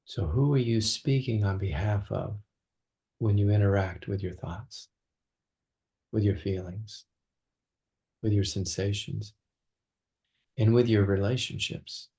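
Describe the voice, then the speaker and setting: A man leading a guided meditation as a teacher, speaking gently and slowly with long pauses between phrases. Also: fairly low pitch, creaky.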